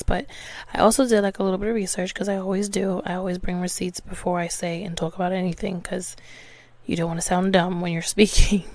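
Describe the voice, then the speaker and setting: A young woman hosting a casual solo podcast, talking in a rambling way full of ums and likes, her voice a little congested from a cold. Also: on the low side. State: slightly congested, small cough. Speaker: podcast host.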